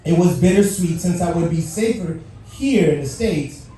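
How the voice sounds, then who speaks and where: High-voiced man speaking in an echoey venue. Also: animated, normal speed, dark tone. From Haiti. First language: French. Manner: engages listeners with questions and playful asides. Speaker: guest speaker at a civic event. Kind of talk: motivational speech to a live audience. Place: large echoey venue with live audience. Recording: echoey venue, distant mic, faint noise.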